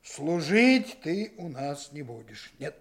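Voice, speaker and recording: A commanding male detective in an old Soviet radio play, with a highish, creaky voice. He is brusque and dryly ironic, and the recording is noisy with clicks. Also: very animated, slow pace, exaggerated voice. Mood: sardonic. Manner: brusque, ironic, giving orders. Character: commanding, sardonic male detective leading a 1920s raid. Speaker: police detective in charge of a search. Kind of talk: Soviet radio drama acting.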